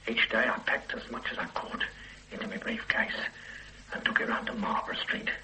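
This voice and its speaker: Regretful middle-aged suspect, radio play, halting, bright tense tone, faint hiss. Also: male voice, low-quality recording. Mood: weary, halting. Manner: halting, hesitant confession with quiet weary asides. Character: ordinary middle-aged man, weary, evasive, regretful. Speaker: murder suspect under questioning. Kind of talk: 1970s-80s radio play acting.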